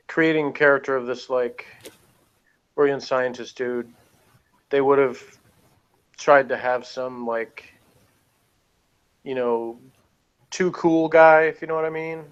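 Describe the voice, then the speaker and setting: A man giving casual review opinions in a rambling, halting way, full of filler words. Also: medium pitch, fairly even intonation, smooth, lo-fi sound. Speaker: review show panelist.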